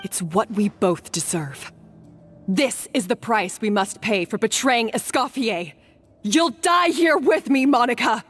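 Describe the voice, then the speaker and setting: A woman's voice: on the high side, expressive, a little slow, husky, faint music behind. A woman playing a bitter, guilt-ridden woman in a video game, speaking with intense, melodramatic defiance. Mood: grim, vengeful. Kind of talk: dramatic video game voice acting.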